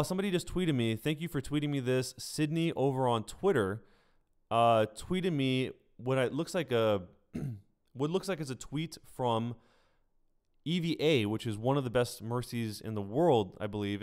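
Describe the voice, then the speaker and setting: Clear-voiced man streaming casual game commentary. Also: mid-range voice, moderate pace, darkish tone. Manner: conversational, addresses viewers directly. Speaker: streamer and gaming news host. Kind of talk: casual livestream gaming commentary.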